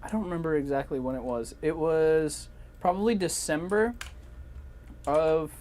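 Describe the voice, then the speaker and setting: Male co-host, casual and hesitant, thinking out loud, mid voice, faint room noise with keyboard sounds. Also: fairly high pitch, moderate pace. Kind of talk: casual chat.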